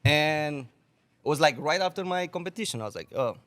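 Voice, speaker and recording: A man's voice: a little high, a little fast. A young man from Romania, a guest bartender, telling stories on a podcast in casual, swearing, laughing speech. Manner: animated, swears a lot, laughs often.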